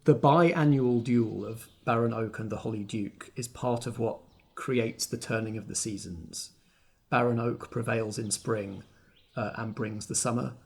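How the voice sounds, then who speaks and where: Husky guy game mastering, takes his time, describes scenes like a storyteller. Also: medium pitch, steady tone, unhurried, a little mellow. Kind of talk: improvised narration of a tabletop game.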